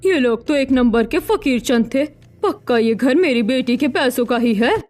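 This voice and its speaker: A woman's voice: sits high, expressive, normal speed, a little mellow. A woman voicing a scheming, suspicious middle-aged mother in a dramatized Hindi story.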